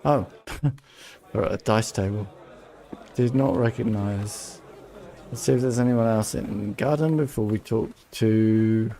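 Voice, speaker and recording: A man's voice: lowish voice, measured pace, warm tone. A man giving casual playthrough commentary as a game streamer, thinking aloud as he goes.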